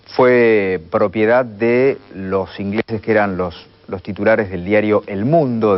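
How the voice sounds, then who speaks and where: Low-voiced Argentine man explaining history. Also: dull audio. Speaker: interviewed radio commentator. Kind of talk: explanatory documentary interview.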